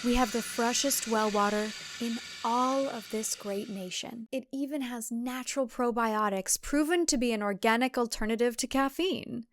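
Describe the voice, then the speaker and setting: A woman's voice: a little high, moderate pace, hardly any pauses, no echo, lightly acted. A woman playing a controlling, falsely genial farm husband in an audio story, hearty and domineering like a salesman. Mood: hearty, boastful.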